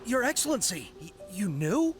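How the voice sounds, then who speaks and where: A man's voice: high-pitched, big pitch swings, normal speed, faint music behind. A man playing a zealous, devoted young soldier in a video game, speaking formally and with fervent pleading. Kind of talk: scripted video game voice acting.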